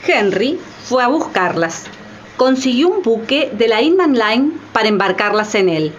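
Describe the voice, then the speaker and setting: A woman narrates dramatic radio storytelling from a script. Her voice is high and animated, slightly dull in sound, with faint music behind. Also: measured pace, slight background noise. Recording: slightly muffled.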